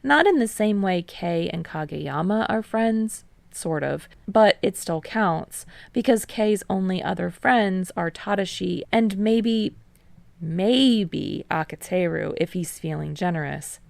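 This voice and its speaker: Creaky-voiced woman reading a story. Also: on the low side, expressive, slow, darkish tone, no room sound. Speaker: fan fiction reader. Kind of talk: scripted narration of a story, audiobook-like.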